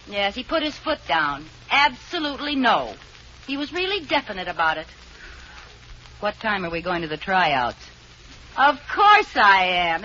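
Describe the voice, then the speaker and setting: A spirited scheming young housewife. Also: female voice, on the high side, pushed and bright. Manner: bubbly, coaxing, quick with a comeback. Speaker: lead in a radio sitcom. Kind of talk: 1940s radio comedy acting. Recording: dull, hissy old radio transcription.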